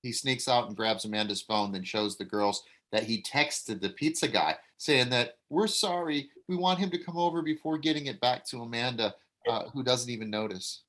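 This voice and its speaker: A man's voice: medium pitch, normal speed, a bit bright. A man narrating stage directions at a live script table read.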